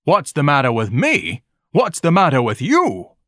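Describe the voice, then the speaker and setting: A man's voice: on the high side, huge pitch swings, a little slow, fairly bright tone, no room sound, clean studio sound, voice pushed up, exaggerated voice. A man voicing a pompous, easily outraged hot dog vendor with haughty, ornate diction, in an audiobook story. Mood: scolding, pompous.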